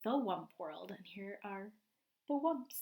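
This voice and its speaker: A woman teacher reading a picture book aloud to young children, expressive and often prompting her listeners with questions. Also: medium pitch, slow pace.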